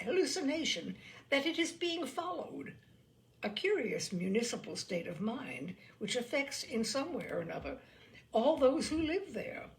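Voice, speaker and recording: Creaky-voiced woman reading stories expressively. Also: fairly high pitch. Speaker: storyteller reading short stories aloud.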